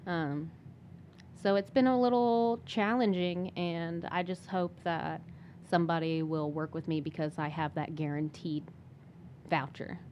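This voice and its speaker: A woman's voice: lowish voice, fairly even intonation, unhurried, a little mellow, lo-fi sound, slight background noise. A young woman speaking casually and personally as a guest in an interview.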